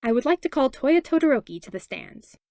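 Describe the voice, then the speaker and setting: A woman's voice: sits high, big pitch swings, fairly quick, mellow, no echo, studio quality, voice pushed up. A woman voicing a smug male lawyer in a fan fiction audiobook, asking pointed, insinuating courtroom questions. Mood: smug questioning.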